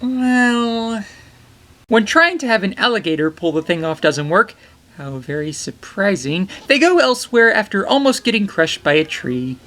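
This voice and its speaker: A man's voice: high voice, lively intonation, normal speed, a bit bright. A young man narrating a comedic film review from a small bedroom, with jokey, enthusiastic asides.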